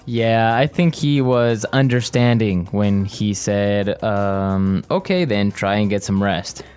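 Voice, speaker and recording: A man co-hosting an English lesson podcast, chatting and repeating phrases slowly and clearly for learners. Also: fairly low pitch, darkish tone. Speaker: podcast co-host.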